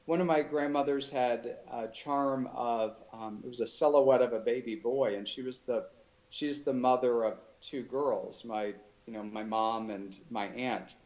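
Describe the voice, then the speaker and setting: A man being interviewed as an author, talking in a thoughtful, halting way with many restarts. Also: medium pitch, fairly even intonation, a little mellow, muffled recording. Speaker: author guest. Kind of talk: casual interview chat.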